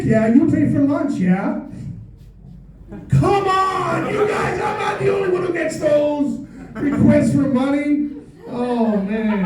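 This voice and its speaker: A man's voice: very high voice, background noise. A middle-aged American man performing a playful stand-up comedy set on a club stage, teasing the crowd with mock exasperation. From United States. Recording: echoey room, voice a bit distant. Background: audience laughter.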